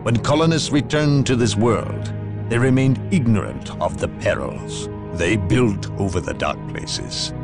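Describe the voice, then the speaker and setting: An actor in a video game playing a grave, haughty inquisitor, speaking in a portentous, theatrical way. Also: male voice, medium pitch, animated, measured pace, over loud music. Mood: grave, ominous. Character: grave, haughty inquisitor, a zealous hunter of evil. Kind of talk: dramatic video game cutscene acting.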